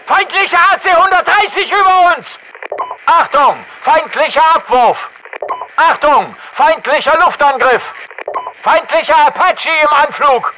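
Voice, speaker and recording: A soldier on a noisy radio, calling out warnings for a military video game. The voice is pitched very high for a man, with measured, slow delivery. Each report is clipped and alert. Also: expressive, bright, forceful tone, exaggerated voice. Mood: urgent warning.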